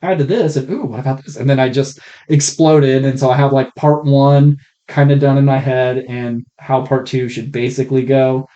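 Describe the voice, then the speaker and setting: A man's voice: medium pitch, fairly even intonation, normal speed, mellow. A man co-hosting a podcast in casual movie review chat, blunt, sweary and rambling. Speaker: podcast co-host. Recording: muffled, rough faulty podcast mic.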